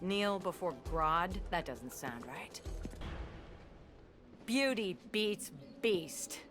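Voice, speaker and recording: A tough, self-assured heroine in a video game, her voice notably low and wry. Music is clearly audible behind her. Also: lively intonation, drawn-out words, a bit bright, full character voice. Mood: defiant. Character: tough, confident, defiant female superhero fighter. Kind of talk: video game cutscene acting.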